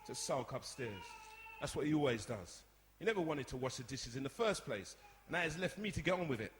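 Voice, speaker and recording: A man's voice: mid-range voice, expressive, brisk, lightly acted. A man playing an exasperated, outspoken young older brother in a radio drama, chiding and emphatic. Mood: aggrieved. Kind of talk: radio soap acting before a live audience. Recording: echoey hall, long reverb.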